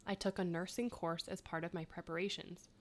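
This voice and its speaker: A woman's voice: fairly low pitch, steady tone, brisk. A woman in her thirties co-hosting a podcast, reading quiz clues and chatting, laughing often. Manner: chatty, laughs often, self-mocking asides. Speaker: podcast co-host.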